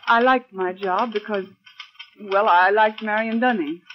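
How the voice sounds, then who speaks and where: An actress playing a shaken woman secretary giving an earnest, halting statement in a 1940s radio drama. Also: medium pitch, close to an everyday voice. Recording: muffled, noisy 1940s radio recording.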